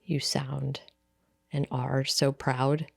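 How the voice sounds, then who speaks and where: A woman's voice: very deep voice, level tone, extremely slow, vocal fry, no echo, studio quality. A woman hosting a podcast interview, encouraging to her guest as she leads the questions. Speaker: podcast host.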